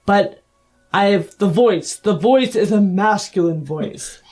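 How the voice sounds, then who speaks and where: A man's voice: high voice, slow pace, darkish tone. A man voicing a haughty dwarf noble with pompous, formal, clipped phrasing in a tabletop roleplaying game. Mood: indignant, proud.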